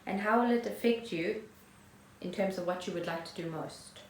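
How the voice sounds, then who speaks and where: A woman's voice: medium pitch, normal speed. A woman chatting casually as a podcast co-host at home. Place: indoors at home. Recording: echoey room, noticeable background noise.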